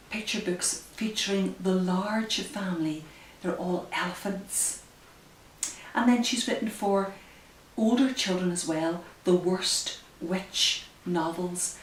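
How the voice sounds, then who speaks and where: A woman leads a children's story time at a slow, careful pace, pausing between short phrases. Her voice has an ordinary pitch and sounds roomy and a little distant, over faint hiss. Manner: deliberate, careful phrasing with pauses. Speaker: community librarian, storyteller. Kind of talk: read-aloud story time for young children.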